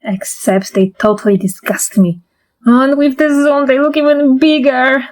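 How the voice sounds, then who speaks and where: A woman plays an exasperated young schoolgirl in a comic dub. Her voice sits a bit high and sounds plaintive and fretful. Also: fairly even intonation, measured pace, darkish tone. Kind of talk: fandub acting.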